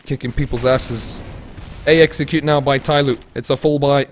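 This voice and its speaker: A man commentating solo on a live game stream, mixing play-calling with casual chat to viewers and shifting between excited and low-key delivery. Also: moderate pace. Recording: noisy with game gunfire and clicks. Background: game gunfire and clicks.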